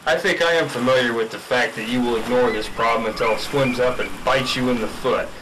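Over clear background noise, a man plays an earnest young fish expert in a spoof radio drama. He is blunt and insistent, explaining things in a mid-range voice. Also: medium pitch, brisk, close to an everyday voice. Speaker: amateur radio drama actor. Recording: noisy track with background ambience.

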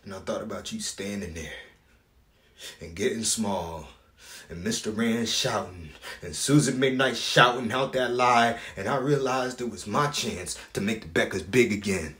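In a reverberant room, a low male voice delivers a dramatic audition monologue. A man acts out a grown son, proud but wounded, laying his heart bare to his father. The delivery is theatrical and emotional. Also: measured pace, long pauses. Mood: defiant. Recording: echoey room.

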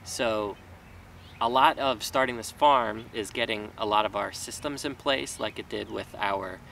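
A man's voice: lowish voice, unhurried, creaky, fairly bright tone. A man in his thirties, a small farmer, talking casually and rambling a bit with fillers and restarts, filmed outdoors in a vegetable garden. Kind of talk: casual talking-head vlog. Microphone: camera mic from a few feet away. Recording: distant mic with faint outdoor noise.

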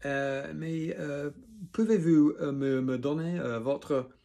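A man's voice: mid-range voice, sing-song, a little fast, husky. A man voicing an indignant, hot-tempered young Viking boy in a storybook, exclaiming emphatically. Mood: flustered.

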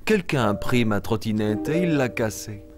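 Man voicing an animated, friendly lion storyteller. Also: fairly low pitch, moderate pace, close to an everyday voice. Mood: reminiscing. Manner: expressive, simple explaining to small children. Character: friendly young lion storyteller, warm and eager. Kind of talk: children's show dialogue and storytelling. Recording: music bed under the voice.

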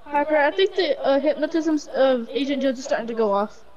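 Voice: high voice, a little fast, lo-fi sound, crowd noise. A female player improvising a roleplay over game voice chat.

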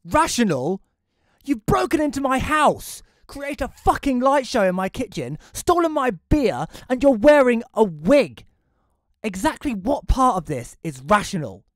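A man's voice: very high-pitched, sing-song, unhurried, no room sound, clean studio sound, heavily acted. A man playing a curt, sarcastic, antisocial widower who narrates his own story in an audio play. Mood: angry. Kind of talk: first-person audio play narration and dialogue.

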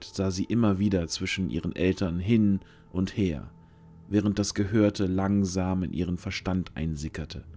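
A male narrator tells a fantasy tale in scripted storytelling style. His voice sits slightly low, with music playing behind it. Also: a little mellow.

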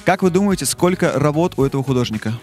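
Fast-talking man quizzing passersby. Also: fairly high pitch, expressive, a little mellow. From Russia. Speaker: street interviewer host. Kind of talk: quick joking street interview questions. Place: snowy city street outdoors in winter. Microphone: handheld mic.

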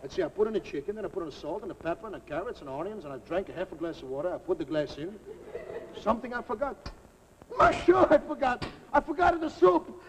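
A man's voice: sits high, very animated, fast talker. A man playing a jolly old-country Italian cook with an Italian accent, rambling in broken English before a live audience. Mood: jovial. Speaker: comic cook. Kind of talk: live comedy sketch. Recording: live audience laughter, noisy old recording.

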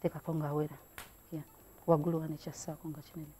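Middle-aged woman, craft host, low mellow voice, chatty and encouraging, indoor room with a camera mic. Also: little pitch movement, quick pace, slight background noise. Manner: mixes languages, chatty and encouraging. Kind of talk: hands-on craft demonstration.

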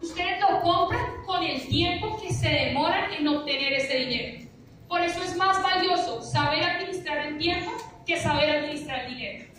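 Echoey high-voiced woman lecturing. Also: moderate pace, a bit bright. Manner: punchy, rhetorical, keeps asking "¿listo?". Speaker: presenter of a finance seminar. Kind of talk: motivational seminar talk. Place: large lecture room with a projector screen, recorded by an audience phone. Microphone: audience phone camera, from afar. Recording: echoey room from far off, faint hiss.

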